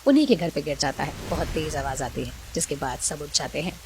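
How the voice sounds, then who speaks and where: A woman's voice: deep voice, fairly quick, background noise. A woman narrating a scripted Hindi cartoon story as the storyteller.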